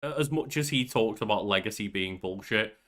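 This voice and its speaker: Bright-voiced young man chatting. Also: lowish voice, moderate pace. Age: young adult. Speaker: podcast co-host. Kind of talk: casual chat. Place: small bedroom at home.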